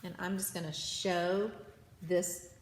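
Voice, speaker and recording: A woman's voice: fairly low pitch, level tone, takes it slowly. A woman teacher reading a children's nonfiction book aloud to students, in an instructional way with brief asides. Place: indoor room with heating running. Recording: echoey, reverberant room with background hum. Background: heater noise.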